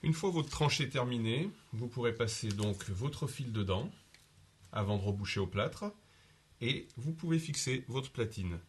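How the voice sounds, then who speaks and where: A man calmly narrating a home improvement job step by step, describing each action as he goes. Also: medium pitch, a little slow. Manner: methodical, explains each step in first person. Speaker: DIY instructor.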